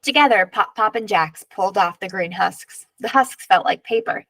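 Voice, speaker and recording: A woman reading a children's picture book aloud in measured, expressive narration, drawing out key words. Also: mid-range voice, creaky, no echo.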